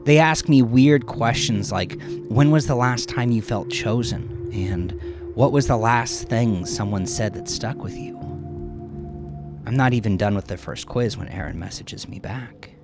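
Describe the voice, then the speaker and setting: A man narrating a first-person dramatic audio story in a confessional, conversational manner with dramatic pauses. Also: mid-range voice. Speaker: narrator of his own horror story. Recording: music behind the voice. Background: background music.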